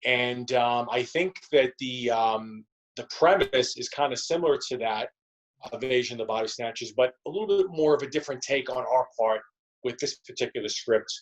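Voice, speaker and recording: A man's voice: mid-range voice, moderate pace, poor audio. A man with a mid-range voice talks as a guest in an interview. He rambles conversationally, circling back and repeating words as he explains his work as a performer.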